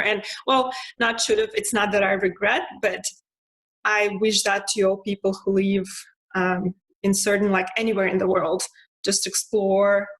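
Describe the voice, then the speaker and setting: A young woman from Russia with a Russian accent, talking casually about her life, with frequent fillers, restarts and soft laughs. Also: mid-range voice, low-quality recording. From Russia (Moscow). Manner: hesitant, many fillers and restarts; laughs softly now and then. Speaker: interviewee. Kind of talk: casual personal monologue.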